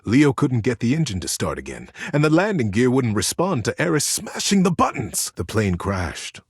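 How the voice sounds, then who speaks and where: An actor voicing a gentle, earnest storyteller character from a game, narrating a bedtime tale with dramatic pauses. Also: male voice, mid-range voice, very animated, slightly rough, dry recording, clean studio sound. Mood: somber. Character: gentle male teddy bear storyteller, tender and earnest.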